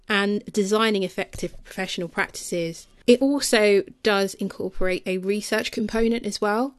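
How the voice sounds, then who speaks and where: A woman hosts a podcast, laying out an explanation in a clear, even way before turning to her guest. She sounds close to the mic, with almost no room sound. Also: medium pitch, no echo. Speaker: podcast host. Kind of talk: explanatory intro, then interviewing.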